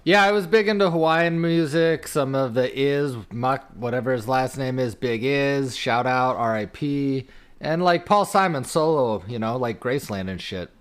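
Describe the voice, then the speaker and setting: A man's voice: medium pitch, normal speed, clean tone. A man co-hosting a podcast, chatting casually with a wry, joking, slangy manner. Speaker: podcast co-host. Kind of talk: casual banter among friends.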